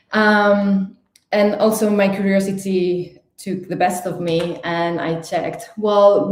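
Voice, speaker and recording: A woman's voice: lowish voice, echoey room. Spanish-accented woman giving an online talk. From Spain. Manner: chatty, nervous, lively with fillers and restarts. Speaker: guest speaker, digital designer.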